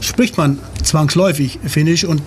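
A man in an interview, explaining in a steady, informative way. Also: on the high side, moderate pace, a little hiss or hum. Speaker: club member.

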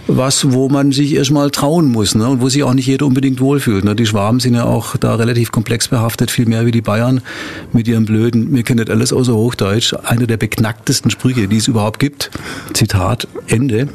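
A man's voice: mid-range voice, creaky, darkish tone. A man talking reflectively as a musician guest, often adding little questioning tags. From Swabia, southern Germany. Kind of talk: reflective interview talk.

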